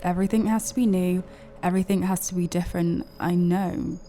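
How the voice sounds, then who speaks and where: A woman's voice: on the low side, fairly even intonation, brisk, warm tone, faint music behind, close to an everyday voice. A woman playing a wary, sardonic wronged woman with a dry, sceptical delivery in an audio drama. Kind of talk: dramatic dialogue.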